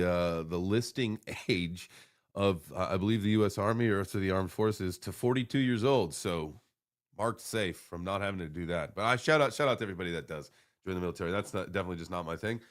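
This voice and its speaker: Livestream host: American man, early forties, lower-pitched, laid-back and informal, loose off-the-cuff chat. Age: early 40s. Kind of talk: casual, rambling morning livestream chat.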